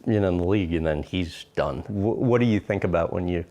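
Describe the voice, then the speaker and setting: A male interviewer with a low-pitched voice leads a long sit-down conversation. He asks questions in a loose, conversational way and drops in short agreements while his guest answers. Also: a little fast, mellow, lo-fi sound. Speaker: interview host.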